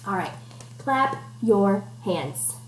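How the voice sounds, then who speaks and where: A woman's voice: mid-range voice, expressive, very slow. A woman teacher doing an interactive picture-book read-aloud for children at home, encouraging them with questions and instructions. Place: at home, indoors. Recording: echoey room with faint background noise.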